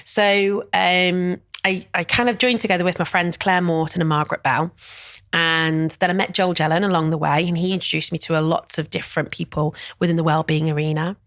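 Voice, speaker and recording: A woman's voice: lowish voice, fairly even intonation, fairly quick, no echo, clean studio sound. A middle-aged woman talking as a guest in an interview, chatty and full of fillers. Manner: chatty, warm-hearted, many fillers and "you know". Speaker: guest, wellness event director. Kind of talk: casual interview chat.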